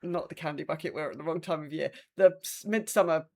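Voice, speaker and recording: A woman co-hosting a podcast, chatting casually in a halting, self-interrupting way. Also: low-pitched. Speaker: podcast co-host. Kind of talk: casual chat.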